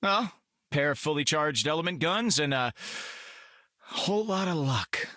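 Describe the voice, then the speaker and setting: An actor playing a cocky, wisecracking young space outlaw in a video game, flippant, slangy and sarcastic. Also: male voice, on the high side, animated, a little slow, bright, forceful tone, dry recording, clean studio sound. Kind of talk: video game character lines.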